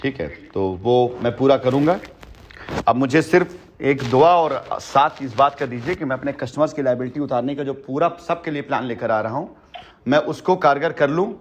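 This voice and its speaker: A man's voice: mid-range voice, moderate pace, a little hiss or hum. A man talking on a phone call in long, persuasive stretches, earnest and emphatic, often repeating himself. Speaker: company head addressing a customer by phone. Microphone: telephone.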